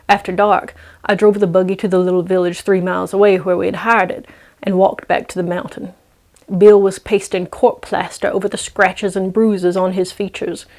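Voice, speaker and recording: A woman's voice: mid-range voice, a little mellow. A woman storyteller narrating in a dramatic, scripted read-aloud style.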